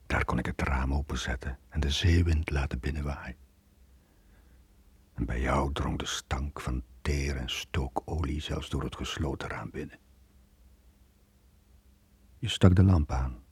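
An actor playing a weary, guilt-ridden middle-aged sailor with a Flemish accent, in a brooding radio drama style with a husky, breathy voice. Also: male voice, a bass voice, darkish tone, dry recording, full character voice. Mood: somber recollection. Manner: brooding, halting confession. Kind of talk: 1980s Dutch-language radio drama acting.

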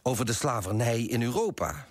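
An actor playing a fussy, self-righteous coffee broker in a radio play, pompous and self-important, with a husky voice. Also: male voice, medium pitch, lively intonation, fairly quick. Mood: baffled, self-important. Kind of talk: first-person radio drama narration and dialogue.

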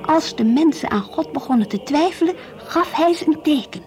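Earnest, high-voiced devout Dutch teenage girl. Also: expressive, moderate pace, a little mellow. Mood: dreamy, confiding. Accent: Netherlandic Dutch. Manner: earnest, dreamy, stubborn. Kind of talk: Dutch radio play acting, diary monologue and dialogue. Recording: faintly hissy old radio play recording.

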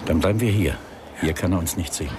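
A man acting a gruff, world-weary police inspector in a radio crime play. He has a low voice and a dry, grumbling, bossy delivery, with faint scene noise behind him. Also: quick pace, natural voice.

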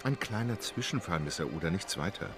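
An actor playing a composed, courteous English gentleman traveller in a German audio drama, speaking formally and precisely. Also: low-pitched, lively intonation, brisk, music in the background. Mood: composed, polite. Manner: formal, polite, unflappable, precise.

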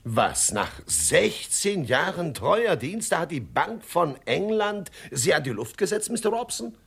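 A man's voice: a little high, sing-song, unhurried, creaky, a bit bright, soft background music, heavily acted. An actor playing an eager, scheming small-time club man who exclaims and wheedles with feigned sympathy in a 1970s radio crime comedy. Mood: effusive sympathy.

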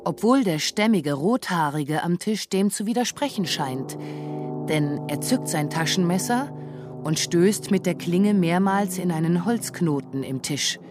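A woman narrating a literary radio drama in a deliberate, observational way. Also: lowish voice, lively intonation, music in the background.

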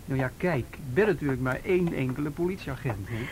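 A man's voice: mid-range voice, animated, a little fast, slight background noise, lightly acted. A man acting in a radio play as an easygoing, down-to-earth young local policeman, in naturalistic dialogue. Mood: wry.

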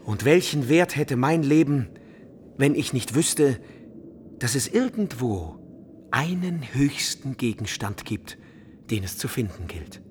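An actor playing an eccentric, obsessive medieval wandering scholar in a German radio play, pedantic, theatrical and emphatic. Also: male voice, mid-range voice, lively intonation, slow, a little hiss or hum. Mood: solemn, secretive. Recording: studio radio drama over music.

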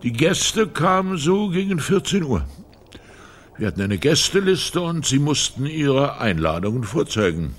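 An actor playing a dutiful gate guard in a radio crime drama, plain-spoken and official, polite but a little defensive. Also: male voice, on the high side, big pitch swings, a little slow. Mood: defensive recalling. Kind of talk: naturalistic German radio crime drama acting.

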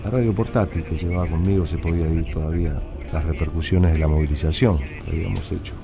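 A man's voice: extremely low pitch, steady tone, fast talker, gravelly. A man with a Rioplatense Argentine accent acting a troubled, working-class factory worker in a radio drama, slangy and reflective. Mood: brooding, reflective. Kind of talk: radio drama acting and first-person narration.

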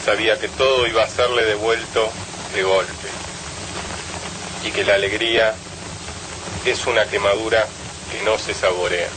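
Low-voiced man reading amid clear noise. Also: steady tone, a little slow, pauses often. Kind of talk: scripted literary reading.

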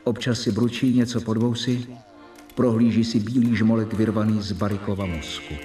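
A man's voice: fairly low pitch, steady tone, warm tone, close to an everyday voice. An actor in a radio drama playing an earnest male doctor, narrating reflectively as if dictating a diary. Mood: reflective, troubled. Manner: reflective, dictating and correcting himself. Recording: echoey, distant from mic, faint music.

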